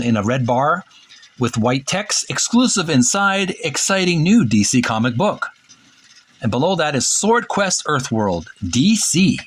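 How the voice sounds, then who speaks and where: A man with a medium-pitched voice hosts a casual show-and-tell. He describes what he sees in a deliberate, halting way, often repeating words. He speaks to his viewers directly in a folksy style. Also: fairly bright tone. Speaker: host of a retro video game book show.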